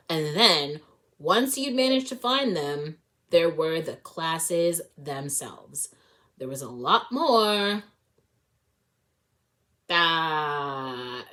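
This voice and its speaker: A woman reading a storybook aloud as a read-along host, expressive and informal, occasionally correcting herself. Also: fairly low pitch. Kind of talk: storybook read-along narration with casual asides.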